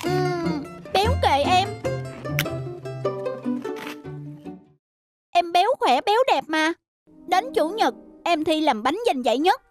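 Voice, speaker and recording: A chubby little sister from a cartoon. Her voice is extremely high and childlike, with a sulky, protesting manner. Music plays underneath. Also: expressive, unhurried. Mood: proud, pouty. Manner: pouty, protesting. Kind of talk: cartoon voice acting.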